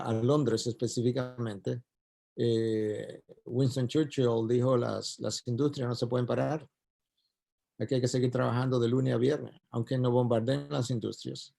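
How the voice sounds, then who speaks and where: A man's voice: medium pitch, creaky, mellow. A man with a Puerto Rican Spanish accent giving an emphatic church talk, building his points through repetition. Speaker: speaker at a church event. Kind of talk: sermon-style talk to doctors.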